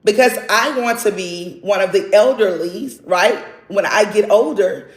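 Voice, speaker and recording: A woman's voice: mid-range voice, measured pace, roomy sound. A woman in her forties giving an earnest, faith-driven motivational talk, tagging her points with a questioning "right?". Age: 40s. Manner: keeps checking in with a questioning "right?". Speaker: motivational livestream host. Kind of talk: casual motivational monologue.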